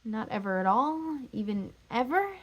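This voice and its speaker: A woman's voice: on the high side, sing-song, normal speed, lo-fi sound, voice pushed up. A woman performing a stage drama role as a heartbroken, insistent jilted lover, pleading emphatically and repeating herself. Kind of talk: stage drama scene and monologue.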